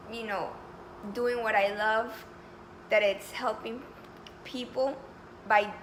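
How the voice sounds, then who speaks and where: A woman's voice: medium pitch, a bit bright, a little hiss or hum, rough recording. A woman in her twenties from Colombia, answering interview questions in an eager, talkative way full of fillers. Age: 20s. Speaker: job candidate. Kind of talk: mock job interview.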